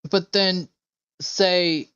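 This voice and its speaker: A man's voice: high voice, fairly even intonation, very slow, pushed and bright, clean tone, dry recording, muffled recording. A man streaming a game, chatting casually and thinking aloud in a rambling, hesitant way. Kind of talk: casual livestream chat while playing.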